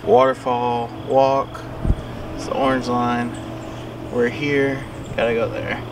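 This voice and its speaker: A young man talks to his camera in a loose, rambling travel-vlog style. His voice sits at an ordinary middle pitch. The recording carries clear noise from a vehicle and the outdoors. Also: little pitch movement, slow. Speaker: vlogger. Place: parked truck cabin and outdoors on trails. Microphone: handheld or propped camera.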